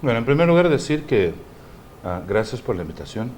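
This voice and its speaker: A man's voice: mid-range voice, very animated, a little mellow, slight background noise. A man from Brazil, a native Portuguese speaker with a Brazilian accent, speaking frank, careful Spanish as an interview guest. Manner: frank and outspoken, measured second-language Spanish.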